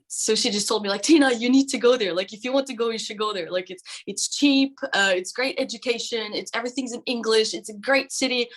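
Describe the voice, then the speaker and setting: A woman's voice: fairly high pitch, fairly bright tone. A woman from Norway in her twenties, a vet student and guest, chatting casually and laughing often. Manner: laughs often, chatty with many likes and you-knows. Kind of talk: casual chat.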